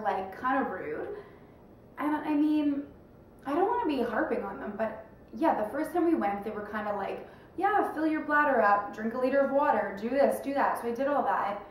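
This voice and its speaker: A young woman rambles along to her viewers in a relaxed, chatty way, with a stuffed-up nose. She sits back from the mic in a very echoey room at home, with a faint hiss underneath. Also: a little high, far from the mic. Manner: rambling, chatty, lots of fillers. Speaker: vlogger. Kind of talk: casual chat to camera.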